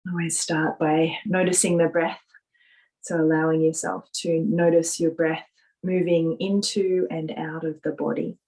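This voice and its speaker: A woman leading a guided visualisation meditation, speaking softly in a gentle, soothing manner with drawn-out pauses. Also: low-pitched, level tone, low-quality recording.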